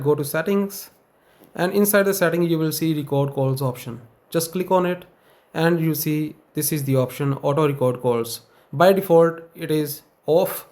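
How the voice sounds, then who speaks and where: A man with a somewhat high-pitched voice narrates an informal screen tutorial. He talks as a channel host would, laying out each step plainly and instructionally. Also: a little mellow.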